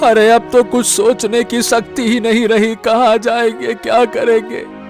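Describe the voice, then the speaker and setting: A man's voice: extremely high pitch, completely flat delivery, unhurried, faint music behind. A man playing a meek, distressed middle-aged village father in a cartoon, pleading and lamenting as he speaks. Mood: remorseful, despairing. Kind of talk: cartoon voice acting.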